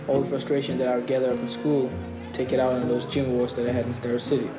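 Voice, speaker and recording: A man's voice: medium pitch, flat delivery, fast talker, low-quality recording. A man, a boxer telling his story reflectively in a documentary interview. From Odessa, Ukraine and Brooklyn, New York. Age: 20s. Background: plucked guitar music.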